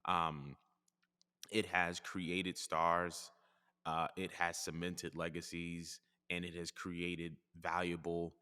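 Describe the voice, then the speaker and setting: A man with a low voice and a bright, forceful tone speaks in a measured way, weighing each point as he goes. A long reverb hangs around his words. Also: smooth. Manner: measured, thinks aloud, hedges. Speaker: podcast host. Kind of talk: casual chat.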